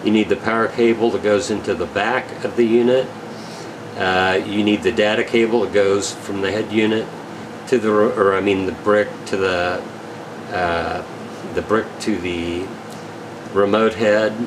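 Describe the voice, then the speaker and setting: A man giving a casual, unscripted product rundown as a gear reseller, halting and technical in a matter-of-fact way. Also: on the low side, fairly even intonation, noisy background. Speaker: radio equipment reseller presenting gear.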